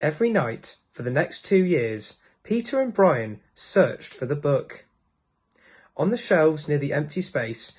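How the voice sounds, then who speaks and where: Male storybook narrator, fairly high voice, highly animated intonation. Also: breathy edge, rough recording. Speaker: storybook reader. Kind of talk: scripted narration of a children's storybook.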